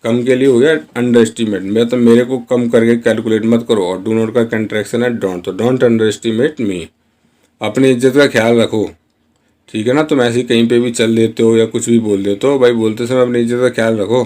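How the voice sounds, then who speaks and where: A man teaching spoken English in a chatty, casual way, reading each example sentence very slowly and word by word. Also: mid-range voice, steady tone, warm tone. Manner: chatty, addresses viewers as guys. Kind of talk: Hindi-medium spoken English lesson.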